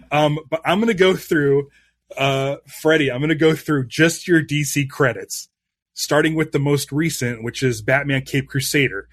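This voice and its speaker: A man's voice: fairly high pitch, moderate pace, no room sound. A man hosting a live-stream interview show, eager and rambling with plenty of restarts.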